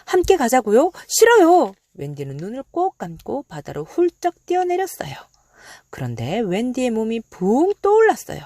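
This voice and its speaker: Casting: female children's storyteller, expressive and animated, with a dry recording. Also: fairly high pitch, moderate pace, dry recording.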